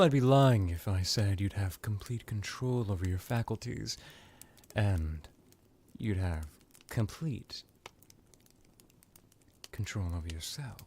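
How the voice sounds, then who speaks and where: A man performs as an ancient vampire lord in an intimate ASMR roleplay. His voice sits fairly low and moves very slowly, slipping into whispers. He is seductive and teasing, with a theatrical menace, over a faint background noise. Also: darkish tone. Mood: coaxing, menacing. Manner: slow, theatrical, drifting into whispers.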